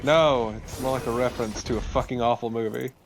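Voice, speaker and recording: A man's voice: medium pitch, steady tone, fairly quick, vocal fry, a little hiss or hum. A man co-commentating a game with casual, sweary banter. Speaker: let's play co-commentator.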